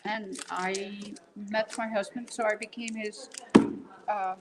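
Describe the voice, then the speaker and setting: A middle-aged woman with a Dutch accent, chatting as a guest in an interview and pausing often with fillers and restarts. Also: medium pitch, level tone, very noisy. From Netherlands. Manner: halting, with many fillers and restarts. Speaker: guest quilter and artist. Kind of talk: casual interview chat.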